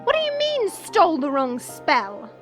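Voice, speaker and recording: A woman's voice: extremely high pitch, huge pitch swings, unhurried, music in the background, full character voice. A woman playing a haughty, hot-tempered dragon princess in human form, indignant and imperious, in an audio roleplay. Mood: demanding.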